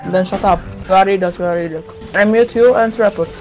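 A player with a Polish accent and a mid-range voice speaks halting, broken English over game voice chat. He is blunt and curses freely, with faint music underneath. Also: medium pitch, darkish tone. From Poland. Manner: broken English, blunt, swears and threatens to report. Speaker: online teammate.

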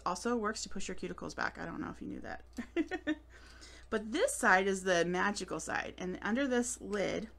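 A woman in her late thirties giving a live craft demonstration, chatty and giggly with self-deprecating asides, recorded at a table under an overhead camera. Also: mid-range voice. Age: late 30s. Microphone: overhead camera above table.

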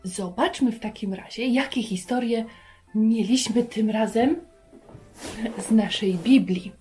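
A woman in her thirties hosting a children's lesson, talking to young viewers in an animated way and asking them questions. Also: a little high, a little slow, faint music behind. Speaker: children's Bible lesson host. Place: carpeted room at home.

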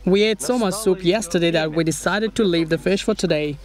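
Higher-voiced young man vlogging outdoors. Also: a little fast. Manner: plain, explanatory, step-by-step. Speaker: vlogger building a treehouse. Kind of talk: casual outdoor vlog narration. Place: forest outdoors.

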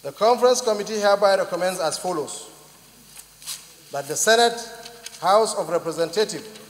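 A man's voice: high voice, normal speed, reverberant, in a large hall. A man with a Nigerian accent formally reading out a report as a senator in a legislative chamber. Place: large indoor senate chamber.

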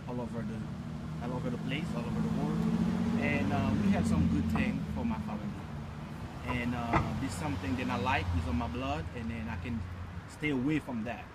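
Earnest Haitian-accented man, outdoor traffic. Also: medium pitch. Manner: halting, earnest, non-native phrasing. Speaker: artist guest. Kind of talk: casual interview. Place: outdoors by a stone balustrade. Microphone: phone held at arm's length. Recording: phone video outdoors with loud traffic noise.

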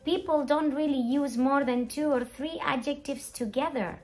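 A middle-aged woman presenting an English grammar lesson as a teacher, expressive and emphatic, with deliberate enunciation and rhetorical questions. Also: high voice, soft background music. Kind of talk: animated scripted grammar lesson. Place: small indoor room at a whiteboard.

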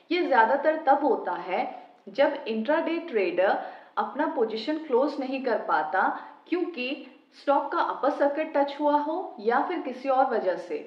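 A woman hosting a finance channel, giving a steady, step-by-step scripted explanation. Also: sits high. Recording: roomy, slightly dull home recording.

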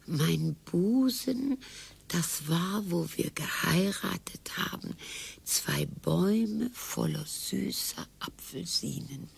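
An older woman playing a weary, sardonic, dryly morbid wife in a radio play, speaking deliberately. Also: on the low side, expressive, gravelly, breathy edge, heavily acted. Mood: weary, wistful.

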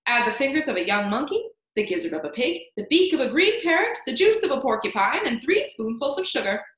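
A woman's voice: on the high side, sing-song, moderate pace. Woman voicing a strange, secretive old man. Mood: urgent. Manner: hushed and then wildly urgent. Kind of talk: storybook reading. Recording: echoey room.

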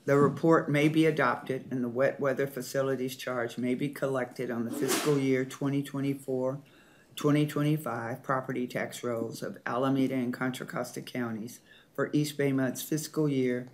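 A man's voice: medium pitch, steady tone, normal speed, poor audio. Man chairing a board meeting. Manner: reads agenda items steadily, calls motions and votes. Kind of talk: formal procedural meeting chairing.

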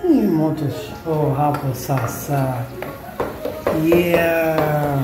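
A man's voice: a little high, fairly even intonation, extremely slow, heavy background noise. A man with a Kenyan accent chatting casually as he cooks in a small home kitchen, mixing languages and half-singing repeated words. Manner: chatty, mixes Swahili and English, sing-song repeats words. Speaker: home cook vlogger. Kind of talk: casual cooking vlog. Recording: echoey kitchen with music behind.

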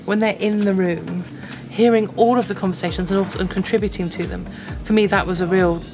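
A woman's voice: medium pitch, fairly even intonation, brisk. A woman in her forties, answering interview questions in an earnest way and repeating phrases for emphasis. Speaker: interviewee from a business. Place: indoor office with bookshelves. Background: music bed.

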